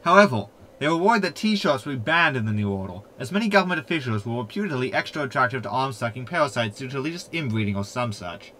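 Man acting a smug hologram, very bright forceful voice, animated pitch, pompous, sarcastic, sci-fi audio comedy. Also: fast, few pauses, slight background noise, poor audio. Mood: pedantic. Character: sardonic, know-it-all male hologram, proud and mischievous. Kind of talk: sitcom audio drama acting.

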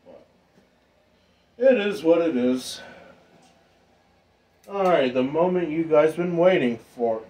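A man giving a casual, informal hobbyist demonstration in an unhurried, rambling way. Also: on the high side, expressive, dark tone. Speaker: ceiling fan hobbyist vlogger. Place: indoor room with exposed pipes and ductwork.

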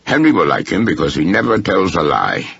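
A man voicing a breezy, excitable male artist hosting a club dinner in an audiobook, chatty and eager, with a gravelly voice. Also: low voice, fairly quick, dull audio, exaggerated voice.

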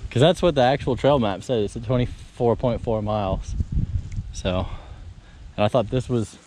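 A man's voice: medium pitch, moderate pace, noisy background. Chatty man on a hike, outdoors. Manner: relaxed, chatty, many fillers. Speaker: hiker filming his trip. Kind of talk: casual chat while hiking. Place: outdoors on a trail.

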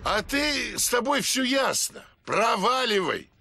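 A man playing a bossy, protective mask spirit in a cartoon game, stern and expressive. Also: very high voice, unhurried, vocal fry, soft background music, heavily acted. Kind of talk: cartoon video game dubbing.

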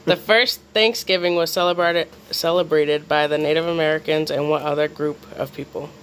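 A man with a fairly high voice hosts a casual home quiz. He reads questions aloud in an informal way. There is slight noise behind him. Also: steady tone, moderate pace, fairly bright tone, rough recording. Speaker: quiz host.

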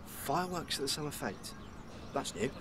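Radio soap volunteer played by a man: young, cheeky, mid-pitched, outdoor noise behind. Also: expressive, moderate pace, close to an everyday voice. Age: young adult. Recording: clear outdoor background noise.

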